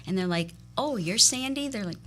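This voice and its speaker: A woman radio host chatting casually and telling lively anecdotes, laughing often. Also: mid-range voice, moderate pace, slight background noise. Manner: animated storyteller who laughs often. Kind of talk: casual chat, telling stories.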